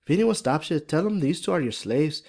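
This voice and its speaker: A man's voice: on the high side, expressive, a little fast, creaky, dark tone, pitched above the performer's own voice. A man voicing a kindly, wry Irish farm wife in an audiobook-style story reading, with hearty, folksy dialect. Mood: brisk, advising.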